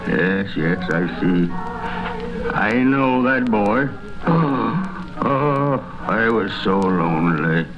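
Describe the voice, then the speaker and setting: An actor playing a frightened, sentimental old miser in a radio drama, stammering, gasping and exclaiming. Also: male voice, fairly low pitch, lively intonation, very slow, heavily acted. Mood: sorrowful, regretful. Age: older. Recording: muffled old radio broadcast, loud music behind.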